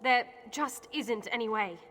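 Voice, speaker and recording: A war-weary woman fighter in a video game. Her voice sits a little high and is earnest and emotional. It carries the reverb of a large hall. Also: measured pace, sharp tone. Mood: disbelieving.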